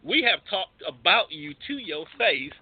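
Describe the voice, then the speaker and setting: A male podcast host with a husky voice and very lively intonation. He talks casually in slang, teasing as he goes. The recording is slightly dull and lo-fi. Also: sits high, unhurried, no room sound. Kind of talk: casual joking banter.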